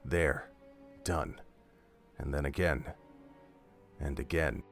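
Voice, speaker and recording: A man narrating a scripted story in a measured, steady way. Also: a bass voice, creaky. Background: faint music.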